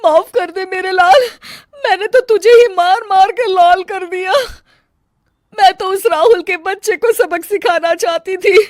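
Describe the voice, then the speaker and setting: A woman playing a sharp-tongued, scheming older mother-in-law in a cartoon story, with grumbling, theatrical delivery. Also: very high-pitched, a little slow, no room sound, studio quality. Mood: remorseful. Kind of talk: Hindi cartoon story dialogue.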